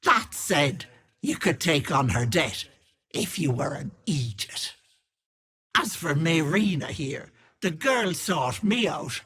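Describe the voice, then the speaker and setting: A sly old Irish witch for a video game. The voice is husky and raspy, swooping widely in pitch and taking its time. She coos sweet endearments with gleeful menace underneath. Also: very animated, slow, a bit bright, full character voice. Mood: haughty, teasing. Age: older. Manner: wheedling endearments turning to menace. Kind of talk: video game character acting.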